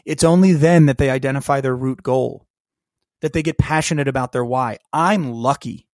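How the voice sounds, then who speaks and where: A man telling a personal story as a podcast guest, lively and acting out the voices of people in it. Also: mid-range voice, moderate pace, no room sound, clean studio sound. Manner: animated storyteller who acts out quoted dialogue. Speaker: guest, keynote speaker and coach.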